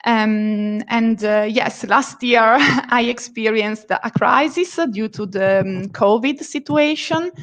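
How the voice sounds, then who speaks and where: Italian-accented woman guest, slow and a bit high, hesitant with fillers, giggly, speaking over a video call. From Italy. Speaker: freelance translator, guest. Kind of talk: casual interview answers.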